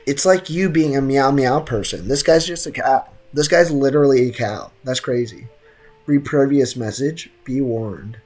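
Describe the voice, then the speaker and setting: A man's voice: mid-range voice, moderate pace, a little mellow. Slangy young man streaming a game. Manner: slangy, joking, sarcastic, repeats phrases. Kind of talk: casual live gameplay commentary. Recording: game music behind. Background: video game music.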